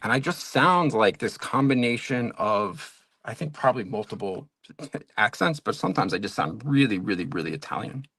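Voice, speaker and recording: A man's voice: mid-range voice, lively intonation, normal speed, pushed and bright, breathy edge, no echo. A man from Long Island with a New York accent, chatting casually and rambling while painting miniatures on a stream. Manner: rambling, lots of fillers and self-corrections. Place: home studio at a hobby desk.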